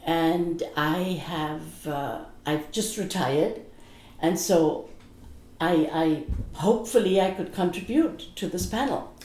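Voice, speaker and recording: A woman in her sixties from South Africa, speaking slowly and haltingly as a panelist. Her voice sits low and mellow, and the room around her is echoey. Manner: halting and hesitant. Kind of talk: panel discussion.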